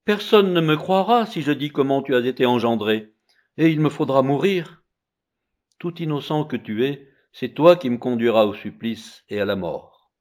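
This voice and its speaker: A frightened young mother pleads through tears, her lines pitched a little high and swooping widely, as a male storyteller puts her on. The voice stays slightly husky underneath. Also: sing-song, normal speed, a little mellow. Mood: lamenting. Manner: pleading, tearful.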